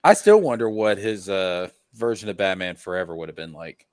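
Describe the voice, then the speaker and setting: A man with a fairly low voice talks casually and emphatically, as if debating with friends. He circles back to restate his points. The sound is close and dry, with no room echo. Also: expressive, a little fast, darkish tone. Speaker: podcast panelist. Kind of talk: casual film discussion with friends.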